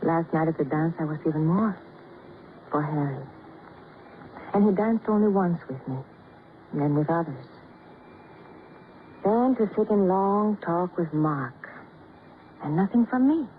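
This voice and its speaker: A wounded, wistful woman in an old radio drama. Her voice sits a little low and moves in halting, dramatic phrases. The broadcast is tinny and narrow with a faint hiss. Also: lively intonation. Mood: wistful, hurt. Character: hurt, love-sick woman, wistful and fragile. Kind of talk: 1940s-50s radio drama acting.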